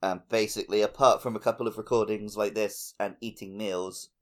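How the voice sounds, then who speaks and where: A man with a fairly low voice talks as a podcast co-host. His delivery is casual and conversational, and he feels his way through sentences, pausing to hunt for the right word. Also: fairly even intonation. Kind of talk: casual chat about a video game.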